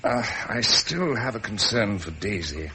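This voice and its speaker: Sardonic, animated aristocratic naval officer. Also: male voice, fairly low pitch, measured pace, a little hiss or hum, exaggerated voice, poor audio. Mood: bitter, sardonic. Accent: upper-class English. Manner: wry, forthright, clubbable. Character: embittered, sardonic aristocratic British naval commander. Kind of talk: 1970s British period drama acting.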